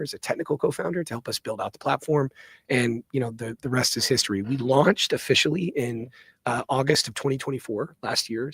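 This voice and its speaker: A man being interviewed as an entrepreneur, telling stories in a conversational way. He talks quickly in a medium-pitched, raspy voice with some fry. He often stops to check that the listener follows. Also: dry recording. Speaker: entrepreneur guest.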